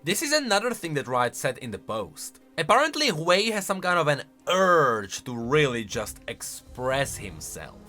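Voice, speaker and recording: A man's voice: fairly high pitch, big pitch swings, moderate pace, hardly any pauses, bright, forceful tone, soft background music. A man hosting a game lore channel with casual reaction commentary, musing aloud and leaning hard on key words.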